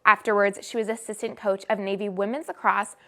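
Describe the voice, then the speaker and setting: A young adult woman working as a student sports anchor, reading scripted sports news in a measured, presentational newsreader delivery. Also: medium pitch, little pitch movement, sharp tone.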